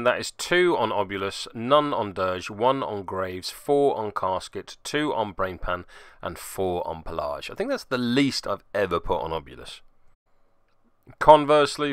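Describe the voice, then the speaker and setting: Bright, crisp-voiced man narrating a tabletop game. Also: medium pitch, dry recording, clean studio sound. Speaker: podcast host and player.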